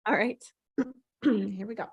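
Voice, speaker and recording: A woman's voice: medium pitch, slow pace, breathy edge, lo-fi sound. A woman hosting an online table read in casual, slightly scattered chat over a video call.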